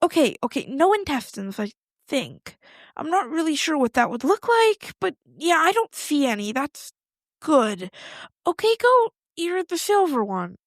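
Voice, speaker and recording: High voice, swooping intonation, nervous squeamish girl played by a woman, hesitant rambling, audio fiction. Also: very animated, measured pace, no echo, clean studio sound, heavily acted. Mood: nervous, fussing. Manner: hesitant, rambling, blurting questions to herself. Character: Layla, anxious squeamish rambling kind-hearted girl. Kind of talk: audio fiction acting, naturalistic.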